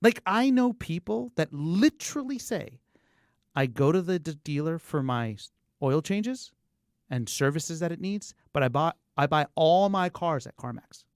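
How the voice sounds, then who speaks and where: A man talking as a business coach in an animated conversation, informal and emphatic, repeating words for effect and acting out imagined quotes. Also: a little high, a little slow, smooth, dry recording, clean studio sound. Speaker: car dealership service coach.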